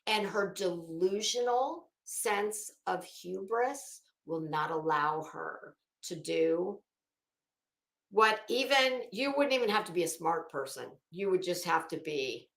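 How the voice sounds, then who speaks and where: A woman giving informal, opinionated commentary in an emphatic, scornful manner, swearing freely and pausing dramatically. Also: lowish voice, animated, fairly bright tone, echoey room. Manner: emphatic, scornful, profane, dramatic pauses and repetition. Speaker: online commentator.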